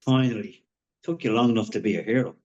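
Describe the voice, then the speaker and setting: A male actor plays a wounded man in the middle of a crisis in a screenplay drama. He pushes his words out urgently and haltingly, with bitter sarcasm. The audio is dull and low in fidelity, like an online call. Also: a little mellow, natural voice. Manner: halting, urgent, foul-mouthed. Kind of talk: dramatic live screenplay table read.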